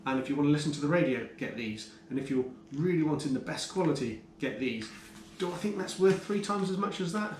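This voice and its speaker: A man's voice: fairly high pitch, animated, fairly quick, darkish tone. A British man giving a casual, enthusiastic, explanatory product review to camera as a motorcycle vlogger. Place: indoors, in a kitchen. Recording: roomy echo, faint background noise.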